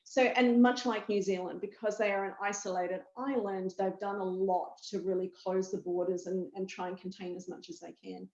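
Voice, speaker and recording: A woman with an Australian accent explains things as an expert guest in a discussion, in a measured, conversational way with rhetorical questions. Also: mid-range voice, steady tone, darkish tone. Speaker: pharmaceutical chief medical officer, expert guest. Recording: echoey room, muffled audio.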